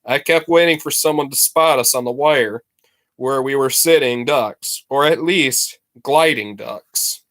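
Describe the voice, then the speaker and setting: A man reading a story aloud to students as a teacher, with expressive, dramatic phrasing and deliberate emphasis. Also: medium pitch.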